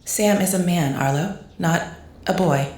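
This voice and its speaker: Woman playing a low, formal, emotionless otherworldly woman. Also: measured pace, darkish tone, close to an everyday voice. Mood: cool, resolute. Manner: stiff, formal, no contractions. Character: cold, formal non-human woman declaring her love. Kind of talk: scripted audio drama acting. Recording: roomy, echoing sound.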